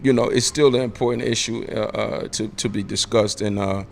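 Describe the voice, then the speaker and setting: A man's voice: on the low side, steady tone, brisk, vocal fry, a little hiss or hum. A man in his late forties, a radio interview guest, talking emphatically in street slang and hammering his points with repetition. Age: 40s. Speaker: podcast guest.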